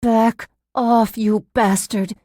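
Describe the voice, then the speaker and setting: A woman reading an audiobook as a frightened, defiant, angry woman patient, speaking in forceful, emphatic outbursts. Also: on the high side, steady tone, slow pace, no room sound, clean studio sound, voice pushed up. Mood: frightened, urgent. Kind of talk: dramatised audiobook narration.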